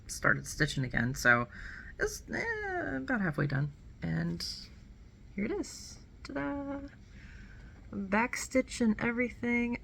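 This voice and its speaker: Low creaky woman, nasal with a cold. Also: fairly bright tone, a little hiss or hum. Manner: rambling and self-correcting, with light laughs. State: nasal, getting over a cold. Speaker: cross stitch vlogger. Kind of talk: casual chat to camera. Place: small indoor room at home.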